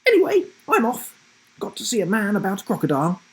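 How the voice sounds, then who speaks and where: Guy doing a naughty old grandma for a kids story, high voice, cheeky and bold. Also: huge pitch swings, breathy edge, exaggerated voice. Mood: breezy, mocking. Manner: firm, playfully rude, brisk. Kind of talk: children's storybook character voice.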